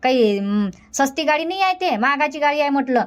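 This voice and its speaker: A woman playing a nagging village aunt, high-voiced. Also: animated, brisk. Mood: scolding. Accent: Vidarbha Marathi. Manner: nagging, lecturing, long run-on scolding. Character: scolding middle-aged village aunt.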